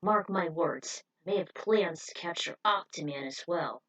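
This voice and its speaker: A woman's voice: on the low side, moderate pace, fairly bright tone, poor audio. A woman voicing a stern, proud male beast hunter in a read-aloud story, with an emphatic, determined delivery. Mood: angry, vowing.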